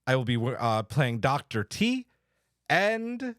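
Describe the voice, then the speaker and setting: A man's voice: medium pitch, very animated, slow, a bit bright, no room sound, studio quality. A man hosting a podcast in an enthusiastic, casual, chatty way. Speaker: podcast host.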